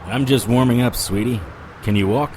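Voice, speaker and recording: A man's voice: mid-range voice, moderate pace, noisy background, natural voice. An actor playing a wry, world-weary occult detective who narrates his own story in a noir-style audio drama. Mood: wry concern. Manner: wisecracking, sardonic. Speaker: lead actor and first-person narrator.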